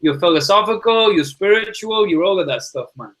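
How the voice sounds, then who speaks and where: A man's voice: high-pitched, expressive, brisk, fairly bright tone. Enthusiastic man, rambling. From Liverpool, England. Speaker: podcast guest, success coach. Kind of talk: casual conversation.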